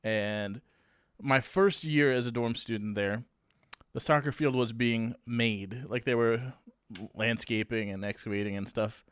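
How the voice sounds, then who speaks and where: A man's voice: mid-range voice, fairly even intonation, a little slow, a bit bright, clean tone, no room sound, studio quality. A man co-hosting a podcast, telling stories in a chatty way and laughing often. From New Hampshire, USA. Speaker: podcast co-host. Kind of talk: casual chat.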